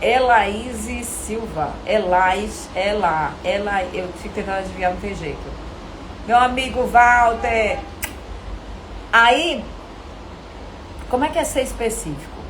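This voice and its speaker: A woman with a Brazilian Portuguese accent coaching actors in an informal live lesson, animated and didactic, often asking questions and answering them herself. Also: mid-range voice, measured pace, long pauses, background noise. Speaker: acting coach teaching a live online class.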